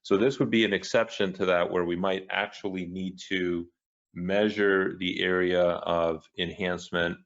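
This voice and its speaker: Very deep-voiced man lecturing calmly. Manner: measured, with frequent ums. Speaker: co-director and lecturer. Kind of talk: explanatory lecture answer. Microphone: video call. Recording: dull muffled web call audio.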